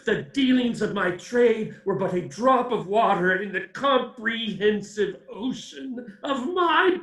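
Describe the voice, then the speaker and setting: A man's voice: high-pitched, sharp tone, exaggerated voice. An actor playing the tormented, remorseful ghost of a dead businessman in a radio play, declaiming with grand solemnity in a ghostly reverb. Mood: anguished, booming. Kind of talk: theatrical radio play acting. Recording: echoey, distant-sounding voice.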